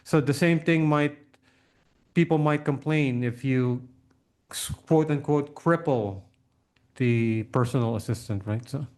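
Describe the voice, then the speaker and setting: A man's voice: mid-range voice, a little slow, a little mellow. A man hosting a casual podcast interview, thinking aloud tentatively as he puts questions to his guest.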